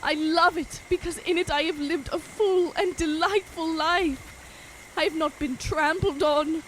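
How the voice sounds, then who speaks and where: A woman's voice: very high voice, steady tone, measured pace, fairly bright tone, a little hiss or hum, rough recording. A young woman playing an earnest, devoted governess in a gothic romance audio drama, with polite, restrained period speech. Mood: anguished. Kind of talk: audio drama acting with first-person narration.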